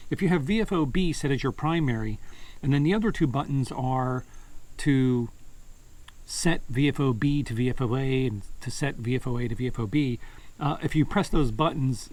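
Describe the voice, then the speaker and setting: A man's voice: mid-range voice, far from the mic, low-quality recording. A man giving a casual, unscripted gear review as a hobby vlogger, thinking aloud in a hesitant way.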